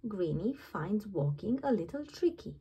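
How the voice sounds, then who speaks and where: Woman playing a patient, lively-voiced mother dog. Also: medium pitch, measured pace, husky, highs cut off, exaggerated voice. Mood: knowing.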